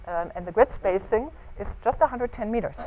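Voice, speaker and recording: A woman's voice: mid-range voice, lively intonation, fairly quick, dark tone, rough recording. Woman giving a seminar talk, background noise. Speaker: academic researcher presenting a project at a seminar. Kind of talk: semi-scripted scientific conference talk with slides. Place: indoor seminar room.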